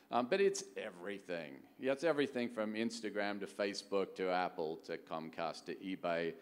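A middle-aged man speaks in a fairly low voice from a conference stage, his words trailing long reverb around a big hall. He presents conversationally, like a keynote speaker walking listeners through material and checking in with questions. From Australia. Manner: conversational, frequent rhetorical questions. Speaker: venture capital executive, keynote speaker.

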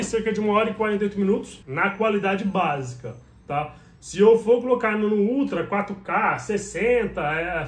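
Chatty Brazilian man, high voice. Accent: Brazilian Portuguese. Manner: chatty, keeps checking in with "tá?". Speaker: motovlogger. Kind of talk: casual gear tutorial to camera. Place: small bedroom, indoors. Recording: echoey bare room.

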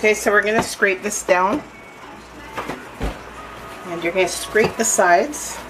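A woman's voice: mid-range voice, fairly even intonation, a little slow, loud music behind the voice, rough recording. A woman baking at home, talking casually through each step of a recipe with chatty restarts, filmed from above her kitchen counter. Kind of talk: casual cooking demonstration. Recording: loud hand mixer noise.

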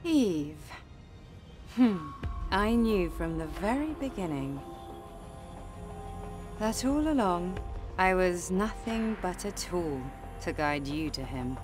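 An actress playing a bitter woman soldier turned enemy, slow and mocking. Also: animated. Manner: slow, mocking, swinging pitch. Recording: game cutscene with music.

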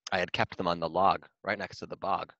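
A man's voice: low-pitched, flat delivery, brisk, pushed and bright, dry recording, lo-fi sound. A man voicing a muddled, polite, near-sighted hedgehog in a children's storybook, earnest and exclaiming. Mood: hopeful, polite.

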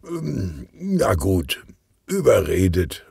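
A man voicing a calm, good-natured, portly police detective who talks in an unhurried, murmuring way for a children's audiobook. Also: on the low side, gravelly, breathy edge, no room sound, clean studio sound, voice pushed up, exaggerated voice. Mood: hesitant, indulgent.

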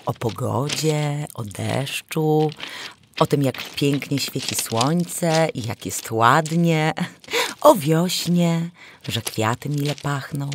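A woman narrating a fairy tale in a theatrical, expressive storytelling manner. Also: sits low, background noise. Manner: theatrical, animated. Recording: music behind the voice. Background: music.

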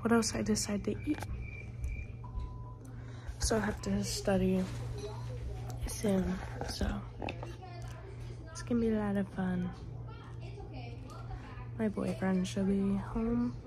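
A woman's voice: mid-range voice, fairly even intonation, slow, pauses often, a crowd in the background. A young woman in her twenties, a college student with a cold, chatting casually to a phone camera at home, her throat scratchy and her nose congested. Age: 20s. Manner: whispering softly. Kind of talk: casual vlog chat to camera. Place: small room at home, indoors. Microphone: phone camera held close.

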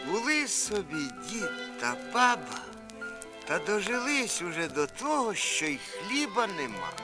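A man narrating a children's fairy tale as an expressive, theatrical folk storyteller. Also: high voice, measured pace. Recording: vinyl record transfer with music.

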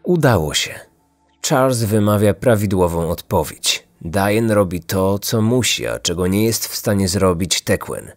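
A man's voice: lowish voice, animated, unhurried. A man in his thirties narrating a scripted true-crime story as a podcast host. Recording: music under the voice.

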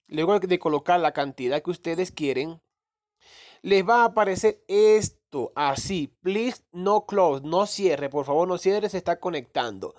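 Guy walking through a phone tutorial, kinda high voice, keeps fixing his words and swearing a bit. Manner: rambling, self-correcting, mild swearing. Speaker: crypto gaming youtuber. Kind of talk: casual screen-recorded tutorial. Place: voice-over on a phone screen recording.

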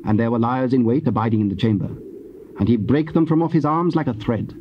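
A man gives a solemn, formal narration of scripture. His delivery is measured, with a medium pitch. The cassette sound is dull and faintly noisy.

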